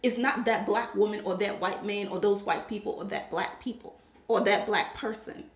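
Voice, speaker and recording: A woman from Liberia giving her opinion in a casual monologue to camera, becoming more animated as she stresses her points. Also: medium pitch, normal speed, reverberant. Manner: opinionated, rising to animated emphasis. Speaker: vlogger.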